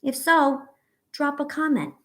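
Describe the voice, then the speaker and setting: High-pitched woman reading to children. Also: expressive, measured pace, fairly bright tone. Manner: encouraging, reassuring, asks listeners questions. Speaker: teacher reading a picture book to children. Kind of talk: read-aloud story with asides to young children.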